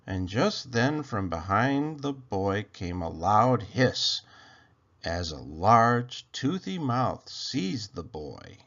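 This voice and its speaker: A man reading a rhyming children's story aloud in an expressive, theatrical way with drawn-out phrasing. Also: lowish voice, muffled recording.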